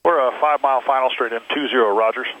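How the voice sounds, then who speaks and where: A middle-aged American man, a pilot, calmly explaining things in casual cockpit talk and radio calls. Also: medium pitch, fast talker. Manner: calm, explanatory. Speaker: pilot and flight mentor. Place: small airplane cockpit in flight. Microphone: aviation headset. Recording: muffled aviation headset, cockpit noise.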